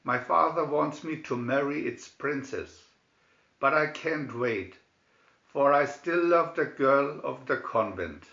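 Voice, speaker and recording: A lovelorn young storybook prince, read by a man. He declaims earnestly at a slow pace. The sound is roomy and dull. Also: mid-range voice, vocal fry, pushed and bright, exaggerated voice. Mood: earnest, longing. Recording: echoey room, dull lo-fi sound.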